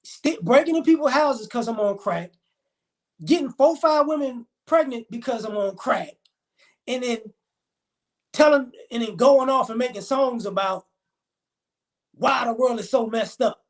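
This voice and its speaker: A man's voice: very high voice, measured pace, long pauses. A man in his forties hosting a live stream, talking in a rambling, emphatic, slangy way. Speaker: livestream host. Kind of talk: casual live-stream commentary.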